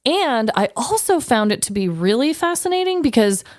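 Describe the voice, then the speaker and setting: Animated high-voiced American woman podcaster. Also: normal speed, dry recording, studio quality. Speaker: podcast co-host. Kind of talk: casual comedic true-crime chat.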